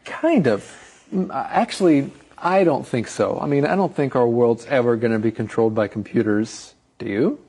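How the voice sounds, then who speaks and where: A man's voice: medium pitch, normal speed, darkish tone, slightly rough. A man co-hosting an English lesson, chatting playfully and thinking aloud. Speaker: co-host. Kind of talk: casual chat in an English lesson.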